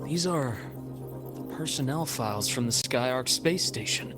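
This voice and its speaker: A man voicing a composed, analytical investigator in a game, speaking in a measured, explanatory way. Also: mid-range voice, expressive, loud music behind the voice, lightly acted. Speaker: game character. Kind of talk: game cutscene voice acting.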